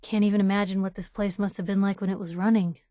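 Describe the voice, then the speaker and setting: An actress playing a sardonic, plucky young reporter with an American accent, making casual companion remarks in a video game. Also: female voice, medium pitch, flat delivery, fast talker, warm tone, no echo, studio quality, natural voice. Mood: wry, cautious. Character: wry, plucky young female reporter in a post-nuclear wasteland.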